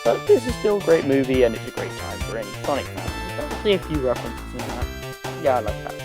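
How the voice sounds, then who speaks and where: A man hosting a gaming video, giving casual, semi-improvised list commentary in a halting way, often trailing off and repeating words. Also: mid-range voice, very animated, music in the background. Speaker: host of a gaming video channel.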